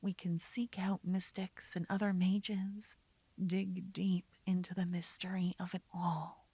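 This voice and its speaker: A devoted, playfully teasing fantasy adventurer , acted by a woman, speaks to her beloved in a soft, breathy voice that often slips into whispers. Her delivery is slow, intimate and soothing, in romantic ASMR roleplay style. The sound is very dry and close to the microphone. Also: lowish voice, flat delivery, dry recording. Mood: devoted, earnest.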